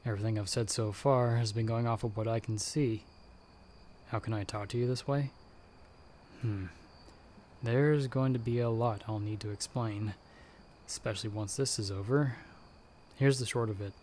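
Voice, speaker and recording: A man playing a calm, protective monster hunter in an ASMR audio roleplay, giving hushed, measured, reassuring instructions. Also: lowish voice, slight background noise, natural voice. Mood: calm, explanatory. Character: calm, protective male monster hunter guiding someone by telepathy.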